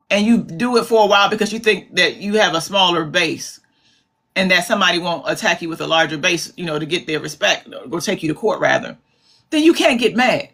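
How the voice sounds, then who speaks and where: A woman's voice: on the low side, creaky, a bit bright, breathy edge. A woman hosting a live commentary stream in a chatty, repetitive way, calling her viewers "babies". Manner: chatty, calls viewers "babies", repeats herself for emphasis. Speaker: commentary livestream host.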